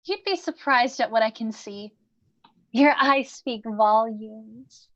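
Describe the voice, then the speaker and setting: A woman's voice: fairly high pitch, slow, highs cut off. A woman playing a playful, perceptive young goddess in a staged reading, breezy and teasing. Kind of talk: live staged play reading.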